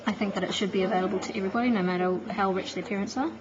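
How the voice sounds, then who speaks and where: A woman, a teacher answering interview questions in a relaxed, reflective way with easy laughs. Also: mid-range voice, very fast. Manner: chatty, laughs easily, reflective. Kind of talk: casual phone interview. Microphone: phone. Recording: muffled phone-call recording with crowd noise behind.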